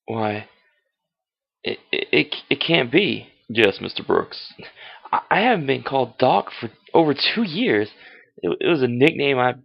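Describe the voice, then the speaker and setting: A man playing an earnest, anxious husband in an old-fashioned radio mystery, with a halting delivery. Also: mid-range voice, very animated, gravelly, full character voice. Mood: pleading, defensive. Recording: dull, lo-fi amateur radio-drama recording.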